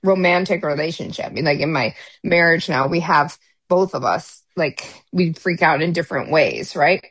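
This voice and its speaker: A woman's voice: low-pitched, animated, normal speed, fairly bright tone, slightly rough, no echo. Blunt, emphatic woman on a dry mic. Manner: blunt, emphatic, restarts mid-sentence. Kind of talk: candid conversational chat.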